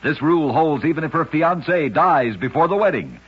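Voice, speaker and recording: A man hosting an old-time radio program, narrating scripted strange tales in a dramatic, deliberate storyteller's delivery. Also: a little high, expressive, slightly rough. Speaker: radio host and narrator of oddities. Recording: dull lo-fi vintage radio recording.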